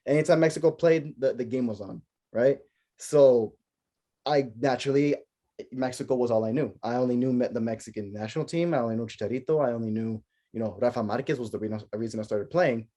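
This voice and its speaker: A man's voice: medium pitch, fairly quick. A young American man, a native Spanish speaker, hosting a casual podcast chat and telling stories with lots of fillers and acted-out reactions. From United States. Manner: animated storytelling with many fillers and acted-out reactions. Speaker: podcast host.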